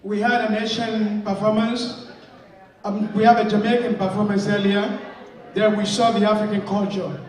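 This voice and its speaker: A man acting as emcee at a gala, introducing performers in a playful, drawn-out way. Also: high voice, flat delivery. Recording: echoey hall from far off.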